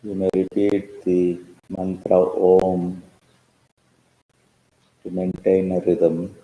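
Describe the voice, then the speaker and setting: A man leading a guided meditation as a teacher, speaking calmly in measured short phrases with pauses. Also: sits low. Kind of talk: slow guided meditation instruction. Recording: roomy, echoing sound.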